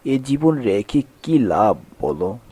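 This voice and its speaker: A man's voice: medium pitch, big pitch swings, slow pace, mellow, slightly rough, dry recording, heavily acted. A man voicing a weary, poor, honest village day-labourer in a cartoon fairy tale, plaintive and earnest. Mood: despairing.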